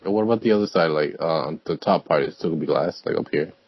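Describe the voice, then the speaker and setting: A man's voice: very deep voice, quick pace, slightly rough, dry recording, muffled recording. A young man playing a block-building game with a friend, joking around in crude, casual banter. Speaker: gamer. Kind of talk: casual gaming chat.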